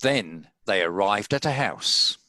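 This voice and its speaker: A man's voice: on the low side, expressive, takes it slowly, bright, forceful tone, dry recording. A man reading scripted story narration as the narrator of a table read.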